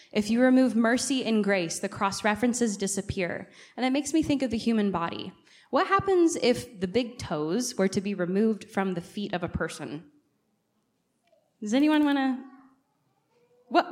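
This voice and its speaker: A woman's voice: medium pitch, animated, moderate pace. Woman preaching a conversational, interactive sermon. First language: English. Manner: engages listeners with frequent direct questions. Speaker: guest preacher. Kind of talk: conversational church sermon with questions to the congregation. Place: church, speaking to a congregation.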